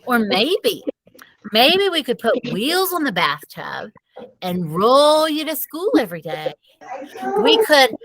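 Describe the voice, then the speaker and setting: A woman's voice: sits high, wildly swooping pitch, slow pace, fairly bright tone, no echo, full character voice, rough recording. Teasing, wisecracking storybook mom played by a woman. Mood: playful. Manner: mock-serious, joking. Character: teasing, wisecracking mother of a fourth-grade boy.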